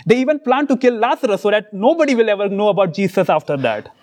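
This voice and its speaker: A man from India with an Indian accent, preaching a sermon in short earnest phrases, pausing for his interpreter. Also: high-pitched, expressive, a little mellow.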